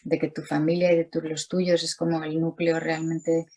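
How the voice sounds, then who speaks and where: Middle-aged woman artist on a video call, low voice, lots of "pues" and restarts. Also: little pitch movement, normal speed, mellow. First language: Spanish. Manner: rambling, many restarts and fillers. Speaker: artist, interview guest. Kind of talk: casual video-call interview.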